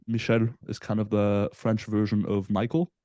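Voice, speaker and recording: A man in his twenties from Belgium, a guest on a casual podcast interview, explaining in a relaxed way with frequent fillers. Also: lowish voice, normal speed, no room sound. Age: 20s. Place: Southampton, UK.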